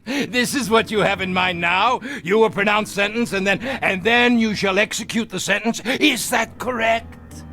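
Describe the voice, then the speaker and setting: An actor playing an arrogant, panicking older military captain in a television drama, with a blustering, theatrical manner. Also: male voice, sits high, moderate pace, bright, forceful tone, soft background music. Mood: mocking rage. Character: arrogant, panicking former war camp captain, older man.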